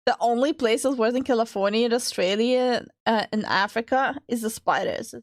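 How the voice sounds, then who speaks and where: A woman's voice: on the high side, normal speed, dry recording, studio quality. A woman from Minnesota co-hosting a stream, playful and teasing, swearing freely in casual banter. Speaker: streamer co-host.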